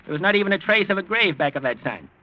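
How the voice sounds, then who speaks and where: A man's voice: high-pitched, very animated. An actor playing a shrewd, commanding rich American grandfather in 1940s radio style. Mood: commanding, proud. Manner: brisk, commanding. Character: shrewd, feisty rich old grandfather, police commission president. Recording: muffled 1940s radio recording.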